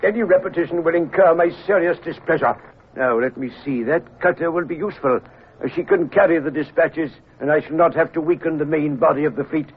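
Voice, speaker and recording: An actor playing a pious, severe admiral who speaks formally and rebukes others in a 1950s radio drama. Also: male voice, fairly high pitch, a little fast, breathy edge. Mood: stern. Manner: formal, reproving. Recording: tinny old radio broadcast.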